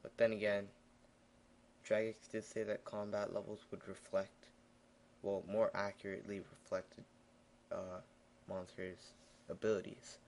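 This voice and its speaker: Brief: tired, raspy male gaming narrator, low gravelly flat voice, rambling casual voiceover, faint hiss behind. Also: measured pace, pauses often. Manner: rambling, with many fillers and restarts.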